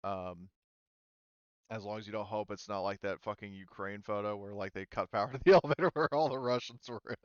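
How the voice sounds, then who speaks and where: A man running a tabletop roleplaying game as game master, narrating off the cuff in a halting, casual, sweary way. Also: lowish voice, clear, resonant tone, dry recording, dull audio.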